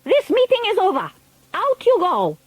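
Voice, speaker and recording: A woman's voice: extremely high pitch, wildly swooping pitch, moderate pace, no echo, pitched above the performer's own voice, heavily acted. A woman voicing an imperious, menacing old female witch queen who barks clipped orders in an audiobook. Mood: commanding. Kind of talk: audiobook character voice.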